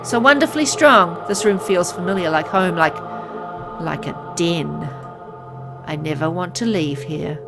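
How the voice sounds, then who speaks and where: A woman's voice: on the low side, unhurried, vocal fry. A woman reading as a dying man turned vampire. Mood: hungry. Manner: reads first-person game text aloud, plainly. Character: gravely ill man turning into a hungry vampire. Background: game music.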